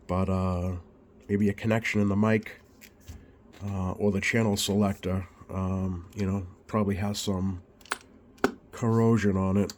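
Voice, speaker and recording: A man with a fairly low voice, a little scratchy as he gets over a cold. He talks like a repair technician hosting an informal video, unhurried and hesitant as he thinks aloud. There is clearly audible noise behind him. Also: a little mellow. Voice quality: slightly scratchy. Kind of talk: informal bench demonstration vlog.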